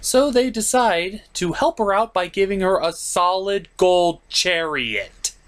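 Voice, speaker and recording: Guy doing a funny movie review, sarcastic n exasperated, pitch all over the place. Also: lively intonation, takes it slowly, fairly bright tone. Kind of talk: comedic film review narration.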